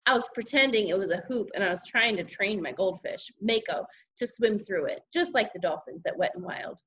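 A woman voicing an excitable, mischievous schoolboy who tells his own misadventures in a children's audiobook. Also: mid-range voice, brisk, husky, highs cut off. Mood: eager. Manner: animated, childlike exclamations and grumbling. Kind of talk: first-person children's audiobook narration.

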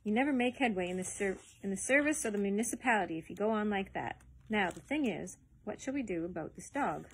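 A woman's voice: a little high, expressive, moderate pace, slightly rough, poor audio. A woman voicing a stern, pompous older male civil servant who lectures with emphasis in a storybook reading.

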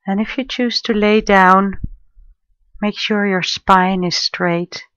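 A woman leading a guided meditation in a gentle, soothing, unhurried manner, leaving long pauses between phrases. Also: medium pitch, steady tone, no echo, dull audio. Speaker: meditation guide, life coach and therapist.

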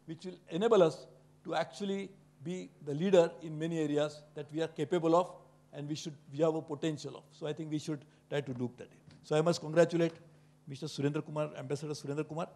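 Middle-aged guy, voice a bit high, earnest speech at a panel in a big hall. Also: steady tone, fast talker, mellow. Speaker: panel speaker. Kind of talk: speech at a book launch. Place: conference hall with an audience. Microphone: handheld microphone.

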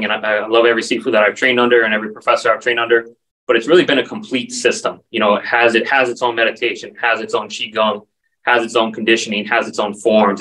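A man's voice: on the low side, sharp tone. A man in his forties, a martial arts instructor, explaining his training earnestly as a guest on a video call interview. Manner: earnest, explanatory, lists terms steadily. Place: dim indoor room. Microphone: video call, standing back from camera. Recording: distant video call audio.

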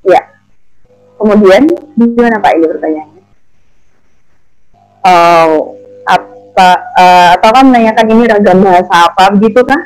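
A woman's voice: medium pitch, normal speed, pauses often, darkish tone, faint music behind, low-quality recording. A woman in her thirties with an Indonesian accent, informally moderating an online webinar and reading out questions in a chatty way. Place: indoor room, on video call.

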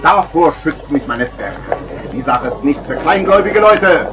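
Casting: male actor as loud market crier, high and very animated, wheedling theatrical patter, old children's radio play. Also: moderate pace, music in the background, natural voice. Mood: exasperated, pleading. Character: boisterous male street hawker selling garden gnomes. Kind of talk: 1970s German children's radio play acting. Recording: vintage vinyl radio play recording.

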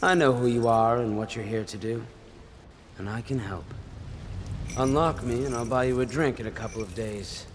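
An actor playing a composed male conspirator in a video game, speaking in a measured, articulate, dryly witty way. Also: mid-range voice, background noise, lightly acted. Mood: wry pleading. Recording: music behind the voice.

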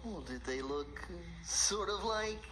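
A man voicing a big, friendly, jolly dinosaur on a children's show, gentle and encouraging. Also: high voice, animated, measured pace, echoey room. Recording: children's TV show refilmed from a screen. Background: music.

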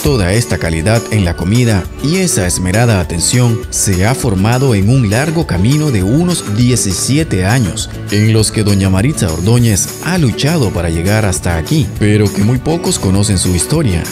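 Lively low-voiced male narrator. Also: moderate pace, hardly any pauses, music in the background. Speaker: narrator of a local travel feature. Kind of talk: scripted promotional narration. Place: voice-over with music behind.

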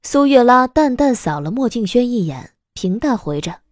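A woman's voice: on the high side, very animated, moderate pace, no echo, studio quality. A woman narrating a scripted audiobook story as the narrator of a drama.

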